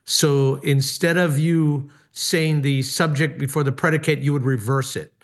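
A middle-aged man from Hawaii speaks earnestly about his faith, pausing often with fillers. His voice sits somewhat higher than most men's. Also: fairly even intonation. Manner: earnest, many hesitations and fillers. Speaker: speaker and former pastor. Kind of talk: personal testimony in interview.

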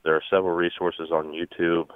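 A man's voice: deep voice, little pitch movement, brisk, smooth, no echo. A man calling in to a radio show over the telephone, talking casually. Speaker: phone-in caller. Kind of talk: casual radio call-in remarks. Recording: tinny narrow-band phone line.

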